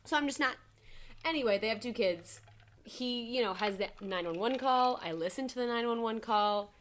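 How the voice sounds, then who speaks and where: A young woman with a high voice, co-hosting a podcast and telling a true crime case in a loose, casual way, full of "like" and easy swearing. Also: moderate pace, a bit bright. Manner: chatty, heavy with "like", swears casually. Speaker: podcast co-host.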